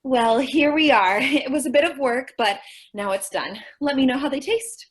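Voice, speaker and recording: A woman's voice: high-pitched, moderate pace, husky. A woman playing a sweet, naive, scatterbrained young shop clerk in an old-time radio comedy, earnest and guileless in her delivery. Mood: naive, cheerful. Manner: earnest, guileless, literal-minded.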